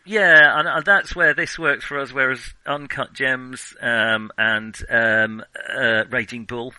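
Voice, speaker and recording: A man's voice: medium pitch, fairly even intonation, slow pace, bright, forceful tone, no echo, rough recording. A man co-hosting a film podcast in casual discussion, thinking aloud in hesitant, broken phrases.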